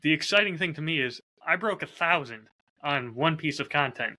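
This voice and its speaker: A man's voice: a little high, lively intonation, moderate pace, sharp tone. A man in casual podcast conversation, talking as a host in an upbeat, enthusiastic way about his own work. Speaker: podcast host and audio drama creator.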